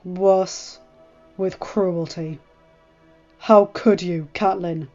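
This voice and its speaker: A stern middle-aged lord, furious and cold, who bites off his words and builds to loud shouts, as a woman reading the story puts him on. The recording sounds dull and lo-fi, with faint music underneath. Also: lowish voice, steady tone, very slow. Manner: cold, clipped, raising to shouts.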